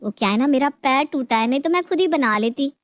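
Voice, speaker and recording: A woman playing a put-upon, scheming young daughter-in-law in an animated moral story, with a plaintive, exaggerated delivery. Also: high voice, fast talker, no room sound. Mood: smugly demanding.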